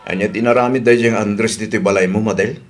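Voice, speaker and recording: A man's voice: fairly low pitch, fairly even intonation, brisk, close to an everyday voice. A man acting an eager, coaxing suitor. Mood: questioning. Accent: Filipino, Ilocano. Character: eager, promising male suitor wooing a woman. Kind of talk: radio drama acting.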